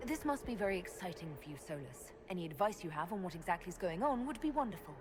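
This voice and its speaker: An actress playing a sarcastic, impatient female companion who talks briskly in a game cutscene. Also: fairly low pitch, big pitch swings, big-room sound, background noise. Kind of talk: video game cutscene acting.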